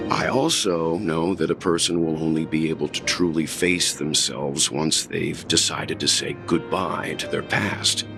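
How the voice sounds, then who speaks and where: An actor playing a calm, wise traveller in a story game, speaking in a measured, earnest, philosophical way. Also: male voice, extremely low pitch, vocal fry, exaggerated voice. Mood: calm, understanding. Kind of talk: video game story acting.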